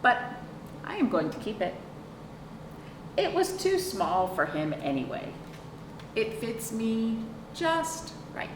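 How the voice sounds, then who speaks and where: A middle-aged woman hosting a children's storytime, reading aloud with chatty asides, expressive drawn-out emphasis and questions to young listeners. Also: a little high. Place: small indoor room, seated on a couch. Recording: roomy, distant mic with clear background noise.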